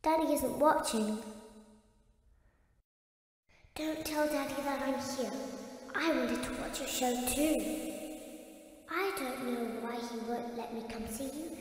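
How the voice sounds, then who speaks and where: Very high, pleading little girl. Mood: secretive, admiring. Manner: childlike, slow, pleading. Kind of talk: scripted video game voice acting. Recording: echoey hall-like reverb.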